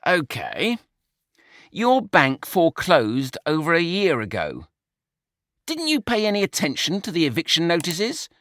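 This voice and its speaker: A man's voice: on the high side, wildly swooping pitch, unhurried, fairly bright tone, no echo, pitched above the performer's own voice, full character voice. A man voicing a dry, curt male pig financier who is fussy about germs.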